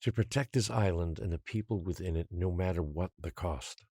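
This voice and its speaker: Stern professor running an island, audio drama. Very low, dark, dry-recorded, coldly authoritative. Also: male voice, vocal fry, husky, no room sound, exaggerated voice. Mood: calm, firm. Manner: measured, cold, authoritative. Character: stern controlling professor who rules an island.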